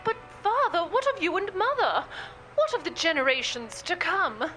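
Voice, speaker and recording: Deluded young would-be English aristocrat for radio comedy: bright, animated, mannered, grandly earnest. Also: female voice, very high voice, moderate pace, soft background music, full character voice. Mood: melodramatic, earnest. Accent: put-on upper-class English. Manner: melodramatic, haughty, mannered. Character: deluded young Minnesota woman playing a grand Edwardian English lady. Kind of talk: comic radio play parody trailer.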